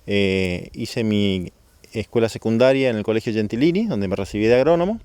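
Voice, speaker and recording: A man's voice: on the low side, expressive, brisk, a little mellow, clean tone, no room sound. A man from Misiones with a northeastern Argentine accent, speaking as a government official in an explanatory, didactic interview style, with small questioning checks. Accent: northeastern Argentine Spanish.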